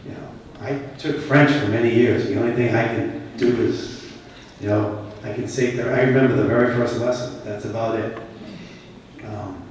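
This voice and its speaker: A man with a Boston accent gives a keynote to a conference crowd, speaking conversationally and with emphasis and often turning questions back on the listeners. His voice sits at a middle pitch. It is picked up from a distance in a big hall that leaves a long echo trail behind each phrase. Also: fairly even intonation, moderate pace, darkish tone, a little hiss or hum. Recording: echoey hall, voice from far off.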